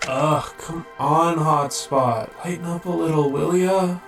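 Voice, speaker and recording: A man's voice: on the high side, slow pace, reverberant, soft background music. A man playing a brash, playful robot soldier in an audio drama, with a theatrical, teasing delivery. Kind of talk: fan audio drama acting.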